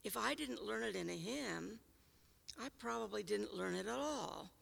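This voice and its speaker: An older woman from California with a raspy voice, unhurriedly telling a personal story about her childhood church. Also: medium pitch, lively intonation, fairly bright tone, big-room sound. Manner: unhurried, reflective, with small restarts. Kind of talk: personal reminiscence.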